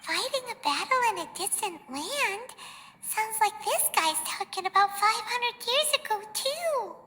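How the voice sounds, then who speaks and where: A woman voicing a small, excitable, childlike fairy girl companion in a video game, chirpy and exclamatory. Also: extremely high pitch, a little slow, slight background noise, exaggerated voice. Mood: concerned. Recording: music behind the voice.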